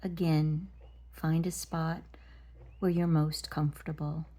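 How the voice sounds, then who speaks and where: Slow, low, mellow woman guiding meditation. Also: flat delivery. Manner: gentle, deliberate, pausing between short phrases. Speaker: meditation guide. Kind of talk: guided body scan meditation.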